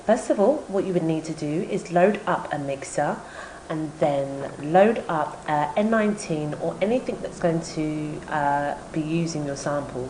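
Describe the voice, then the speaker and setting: A woman presenting an informal, step-by-step software tutorial as a voice-over. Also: sits low. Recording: roomy echo with background noise.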